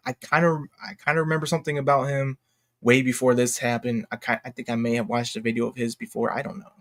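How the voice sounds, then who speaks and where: A man's voice: medium pitch, little pitch movement. A man with a mid-range voice delivers off-the-cuff online commentary. He rambles and halts, breaking into his own sentences to start again. His wording is slangy and exclamatory, with emphatic stresses. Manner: rambling, halting, self-interrupting. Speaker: YouTube commentator. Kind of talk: unscripted casual commentary rant.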